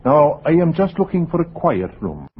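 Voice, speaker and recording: Guarded, formal lodger for vintage radio drama, clipped yet expressive delivery, muffled recording. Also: male voice, on the high side, normal speed. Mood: guarded, insistent. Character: secretive, curt gentleman lodger seeking quiet. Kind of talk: 1940s radio acting. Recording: dull, noisy 1940s radio recording.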